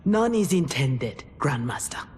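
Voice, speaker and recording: Slow, defiant young ninja woman. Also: sits low, very animated, a little hiss or hum. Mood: troubled, questioning. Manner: deliberate, challenging. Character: proud, defiant young female ninja trainee. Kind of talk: cinematic video game acting. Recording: loud music under the voice.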